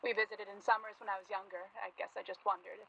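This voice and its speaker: Reserved young newspaperwoman for radio drama, courteous and tentative, breathy edge, brisk lines, dull recording; a woman acting. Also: medium pitch, flat delivery, sharp tone. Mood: awkward, polite. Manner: hesitant, reserved, polite. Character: guarded, polite young New England woman journalist. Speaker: actor in a radio play.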